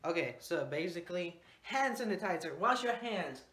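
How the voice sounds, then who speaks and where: A man's voice: high voice, expressive, moderate pace, a bit bright. Young Mexican man rambling into his phone. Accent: Mexican Spanish. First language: Spanish. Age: young adult. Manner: rambling, swears casually, mixes in Spanish phrases. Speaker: vlogger. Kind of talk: casual rambling vlog. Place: home bathroom and bedroom. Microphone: phone held at arm's length.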